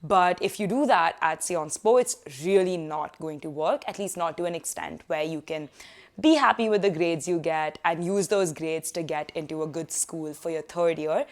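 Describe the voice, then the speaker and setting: A young woman talks straight to the camera from her sofa, sharing study-abroad tips in a chatty, animated way. Her voice sits a little low for a woman. The sound is picked up by a camera a short way off indoors. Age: young adult. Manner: chatty, animated hand gestures, quick asides. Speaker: student vlogger. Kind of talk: casual advice vlog. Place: living room sofa, indoors.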